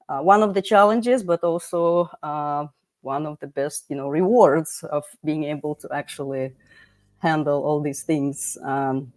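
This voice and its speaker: Woman host, lowish pitch, dry sound, chatty with many fillers. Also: dry recording. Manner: chatty, filler-heavy, with many "you know"s. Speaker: livestream host. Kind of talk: hosting a live online talk. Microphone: video call.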